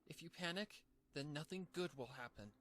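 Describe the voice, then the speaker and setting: A man's voice: on the high side, moderate pace, a bit bright, a little hiss or hum, pitched above the performer's own voice, lightly acted. A man voicing a frightened young female cartoon pony, talking anxiously to herself in a storybook reading. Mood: panicked, self-calming. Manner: anxious, breathless self-talk with repetitions.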